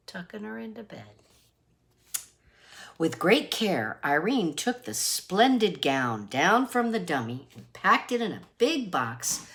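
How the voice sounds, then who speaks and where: Slow, animated woman storyteller. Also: mid-range voice. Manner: deliberate, emphatic. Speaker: read-aloud storyteller. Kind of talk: expressive children's picture book reading with asides.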